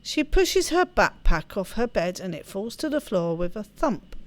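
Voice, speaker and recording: A woman's voice: medium pitch, very animated, a little slow. A woman hosting a gaming video, chatting casually and reading story text aloud with expression. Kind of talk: let's play chat and expressive read-aloud narration.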